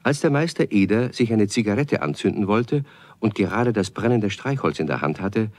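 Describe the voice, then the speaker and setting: A man's voice: lowish voice, lively intonation, moderate pace, dry recording, lightly acted. A man narrating a children's audio drama as a scripted storyteller.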